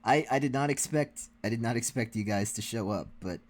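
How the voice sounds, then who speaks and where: A man's voice: mid-range voice, a little fast. A man co-hosting a reaction livestream, chatting casually with the viewers. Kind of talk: casual chat with stream viewers.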